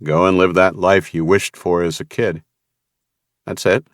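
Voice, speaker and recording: A male narrator voicing a calm, capable man who speaks in an even, understated way. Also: low-pitched, measured pace, no echo, clean studio sound.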